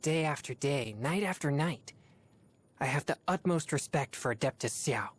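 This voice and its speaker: A woman voicing an earnest, formal teenage boy exorcist in a video game, speaking in a measured way. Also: deep voice, lively intonation, breathy edge. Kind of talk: video game character voice lines.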